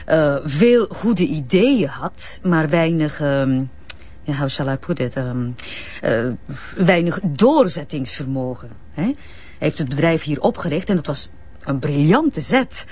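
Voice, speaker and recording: An actress playing a brisk, self-important businesswoman with a Flemish accent, sprinkling in affected English jargon, in a naturalistic radio drama. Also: deep voice, expressive, a little mellow, husky, lo-fi sound, full character voice. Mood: guarded, confiding. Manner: hesitant ehs, affected English business words.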